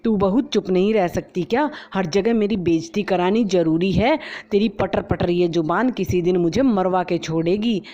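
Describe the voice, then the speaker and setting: A woman's voice: medium pitch, a little slow, dark tone, lightly acted. A woman doing a scolding, exasperated mother-in-law. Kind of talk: storybook voice acting. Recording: slightly dull lo-fi recording.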